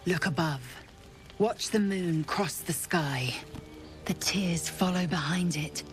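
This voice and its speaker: Stern alien warrior woman, fantasy game, low and slow, clipped, soft music under it. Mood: haughty, brooding. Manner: clipped, imperious, formal. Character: haughty, stern female alien githyanki warrior.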